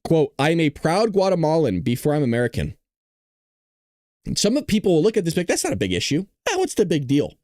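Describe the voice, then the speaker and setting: Male political host: blunt, indignant, emphatic, slightly high voice, dry sound. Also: huge pitch swings, brisk, darkish tone, no echo. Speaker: political commentary show host. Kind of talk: opinionated political commentary to viewers.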